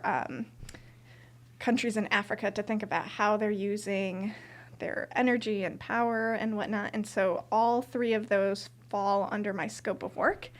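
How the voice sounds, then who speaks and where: A woman from Colombia explaining her work in a clear, organized way. Her delivery is steady and well structured. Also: mid-range voice. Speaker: corporate social responsibility manager. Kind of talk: interview answers.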